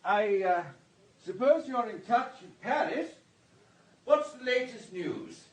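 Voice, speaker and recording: A rich, urbane man of society in a radio play. His voice is high for a man and a little husky, swinging freely up and down. He is breezy, chatty and courteous, entirely sure of himself. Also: animated, slow, exaggerated voice, poor audio. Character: wealthy, urbane, talkative society man, breezy and self-assured. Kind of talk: radio drama acting.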